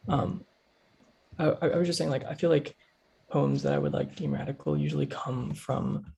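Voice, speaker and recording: A man speaking casually on a panel over a video call, with a husky, creaky voice and a hesitant, thoughtful manner. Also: on the high side, fairly even intonation, normal speed, mellow. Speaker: poet on a reading panel.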